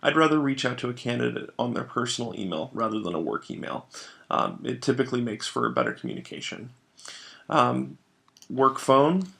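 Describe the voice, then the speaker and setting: A man narrating a step-by-step software tutorial as a trainer, explaining each step in an instructional way. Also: medium pitch, very animated, fairly quick, vocal fry.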